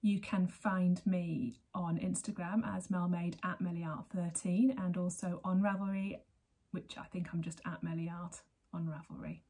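Chatty woman, mellow voice. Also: fairly low pitch, level tone, normal speed, few pauses, breathy edge. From Berkshire, England. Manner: chatty, rambling, self-correcting, laughs often. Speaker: knitting podcast host. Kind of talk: casual chat to camera. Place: sunny living room at home. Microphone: camera at desk distance.

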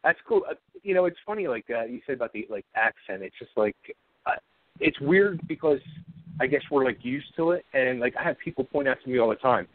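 A man's voice: medium pitch, animated, brisk, no room sound. A man hosting a radio interview in a loose, casual way, laughing often and rambling with fillers. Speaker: radio host. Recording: dry, phone-like sound.

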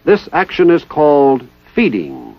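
A man giving formal, precise, scripted narration in the style of a 1960s military instructional film, with measured enunciation. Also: a little high, huge pitch swings, no room sound. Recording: dull 1960s training film soundtrack.

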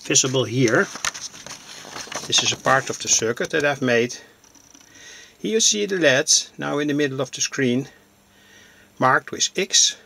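A man giving an informal hobbyist demonstration, narrating in halting, deliberate phrases. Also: mid-range voice, fairly bright tone. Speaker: electronics hobbyist presenter.

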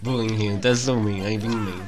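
A man's voice: fairly low pitch, fairly even intonation, moderate pace. A young man hosting a gaming video, chatting casually and informally with a self-deprecating manner. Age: young adult. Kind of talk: casual game commentary, reading dialogue aloud. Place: small room at home. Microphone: handheld microphone.

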